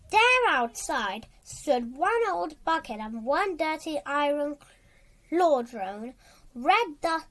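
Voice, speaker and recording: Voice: sits high, fairly bright tone. A young child reading a storybook aloud, stumbling now and then and going back over words. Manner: halting, stumbles and rereads words. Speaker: storybook reader.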